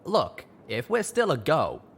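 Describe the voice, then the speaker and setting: A man voicing a blunt, rough-and-ready male pony companion in a story reading. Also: fairly high pitch, huge pitch swings, unhurried, fairly bright tone, slight background noise, voice pushed up, heavily acted. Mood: frank, concerned. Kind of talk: dramatised audiobook reading.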